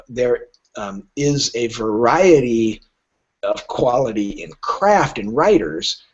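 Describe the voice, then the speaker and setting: A man speaking casually as an interview guest, halting but emphatic, with wry asides. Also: fairly low pitch, breathy edge. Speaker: podcast guest, literary agent. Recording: dull lo-fi audio.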